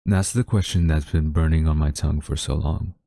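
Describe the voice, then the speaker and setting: Very deep-voiced, haughty government official acted by a man. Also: fairly even intonation, gravelly, dark tone, no echo, studio quality. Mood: stern, pained. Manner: formal, theatrical, deliberate wording. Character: haughty government official, male, secretly tender toward captured villain. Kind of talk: dramatic audio roleplay monologue addressed to listener.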